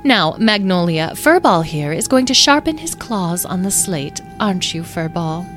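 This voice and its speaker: A woman voicing a tiny, formal fairy who speaks in precise, elaborate phrases, with soft music behind. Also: fairly low pitch, animated, unhurried. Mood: commanding.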